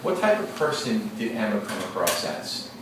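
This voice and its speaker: A deep-voiced male teacher reads a story aloud to his class, slow and deliberate, pausing to think aloud and ask questions. He is heard from across a very echoey classroom, with steady noise behind him. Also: recorded from a distance.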